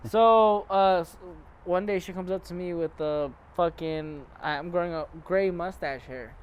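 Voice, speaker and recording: A man's voice: high-pitched, unhurried, smooth. A man in his twenties hosting a podcast, chatting crudely and joking, swearing a lot, on an outdoor patio at night. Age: 20s. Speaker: podcast host. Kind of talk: casual chat.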